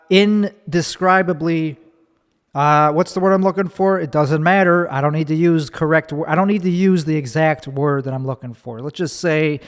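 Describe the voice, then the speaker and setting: Profane ranting man with a Chicago accent. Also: on the high side. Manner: profane, rambling, restarts mid-sentence. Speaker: comedian and podcast host. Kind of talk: ranting solo podcast monologue. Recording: long reverb, echoey room.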